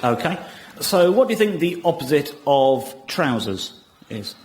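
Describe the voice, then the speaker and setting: A man's voice: a little high, very animated, measured pace. A man presenting a comedy show on the radio, recorded live.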